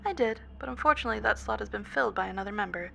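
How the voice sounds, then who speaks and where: A woman's voice: mid-range voice, animated, racing, gravelly, fairly bright tone, heavily acted. A woman playing a polite, unflappably cheerful telephone operator for a sinister company in a scripted horror audio story. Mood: courteous, menacing. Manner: scripted customer-service courtesy, unflappable.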